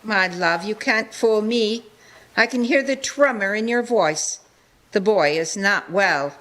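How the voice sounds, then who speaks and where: A woman's voice: mid-range voice, slow pace, fairly bright tone, rough recording. A woman playing a busy, loving, outspoken Victorian mother in a live radio play. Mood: concerned.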